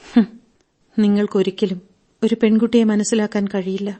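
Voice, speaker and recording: An actress playing a hurt young wife in a radio drama, pleading to be understood, plaintive and guarded. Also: female voice, mid-range voice, level tone, normal speed, dark tone, natural voice. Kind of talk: Malayalam radio drama acting.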